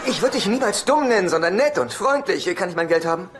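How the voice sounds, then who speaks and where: An actor playing a nervous, needy, penny-pinching brother in a dubbed sitcom, with a flustered, pleading delivery. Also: male voice, high voice, animated, quick pace, heavy background noise. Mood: insistent.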